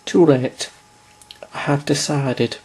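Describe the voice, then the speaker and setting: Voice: medium pitch, fairly even intonation, slow pace, darkish tone, husky, poor audio. A performer voicing a stern, bossy older woman who coldly dismisses a girl. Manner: harsh, cold, dismissive. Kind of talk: homemade audio drama.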